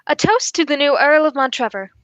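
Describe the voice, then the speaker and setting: A woman playing a calm, courteous male detective in a formal, measured radio drama style. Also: sits high, no echo, low-quality recording. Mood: composed, courteous. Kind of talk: 1940s radio drama acting.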